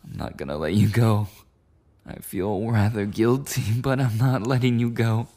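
A man's voice: on the low side, fairly even intonation, moderate pace, dark tone, close to an everyday voice. A man playing a clingy, insecure, possessive boyfriend in an intimate ASMR roleplay, rambling breathily and often slipping into whispers. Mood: adoring, guilty.

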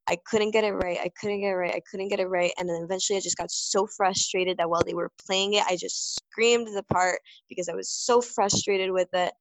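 A woman's voice: mid-range voice, a little hiss or hum, low-quality recording. A young woman vocalist answering interview questions in a casual, rambling way, full of fillers and restarts. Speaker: band vocalist.

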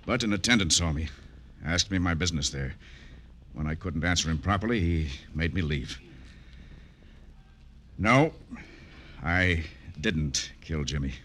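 A man playing a bitter, protective middle-aged father with a formal, measured delivery in an old-time radio drama. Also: low-pitched, animated, sharp tone, exaggerated voice. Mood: bitter, resolute.

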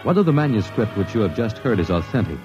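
An actor in an old radio drama playing a sober, official-sounding narrator with a formal, measured delivery. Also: male voice, on the low side, very animated, over music. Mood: solemn. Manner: measured, solemn. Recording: dull vintage radio recording.

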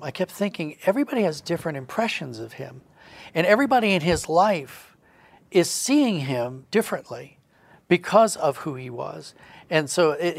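Higher-pitched, creaky-voiced male guest. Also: dry recording. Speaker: podcast guest, writer and creative director. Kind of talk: conversational explanation.

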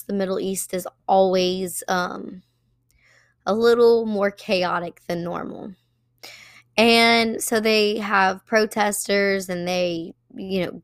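A hoarse woman hosting a solo commentary podcast, talking conversationally with frequent fillers and pauses. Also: mid-range voice, a bit bright, no room sound, studio quality. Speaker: podcast host.

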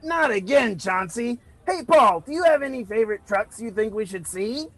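A brisk event host played by a man with a high, very lively voice, easily exasperated, in comedy-sketch style. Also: full character voice.

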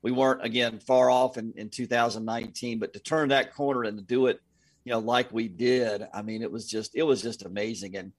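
A man with a sports announcer's background chats as an interview guest. His voice is mid-pitched, and the sound is dry with very little room in it. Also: fairly even intonation, brisk, dry recording.

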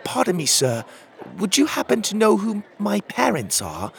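A man's voice: sits high, wildly swooping pitch, moderate pace, gravelly, fairly bright tone, pitched above the performer's own voice, full character voice. A man voicing a timid, earnest young boy in a storybook, with a hesitant, stammering manner. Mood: polite, hopeful. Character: timid, earnest lost little boy searching for parents.

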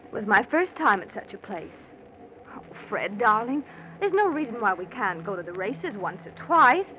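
An actress playing a prim, practical wealthy wife in a 1940s radio drama, earnest and disapproving. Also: female voice, mid-range voice, sing-song, normal speed, natural voice. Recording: noisy muffled old radio recording.

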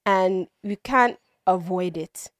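A woman's voice: lowish voice, slow pace, no echo. Nigerian-accented woman, dry close sound. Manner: animated, laughs easily, emphatic asides. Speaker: podcast co-host. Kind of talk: casual chat.

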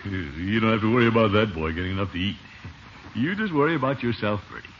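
An actor playing a pompous but soft-hearted middle-aged uncle in a 1950s radio comedy, blustering and hesitant by turns. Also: male voice, lowish voice, lively intonation, fast. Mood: awkward, fond. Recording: noisy, muffled old radio recording.

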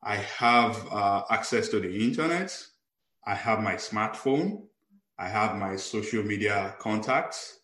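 A man's voice: on the low side, a little slow, bright, forceful tone. A man hosting a podcast as a life coach, enthusiastic and earnest, with some hesitations. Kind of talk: upbeat podcast interview. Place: lives in Houston. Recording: roomy echo.

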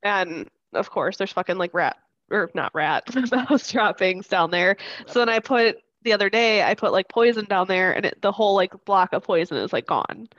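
A woman's voice: medium pitch, animated, moderate pace, fairly bright tone, dry recording, lo-fi sound. A congested woman co-hosting a podcast, talking casually with laughter and swearing. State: congested, stuffy nose. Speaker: podcast co-host.

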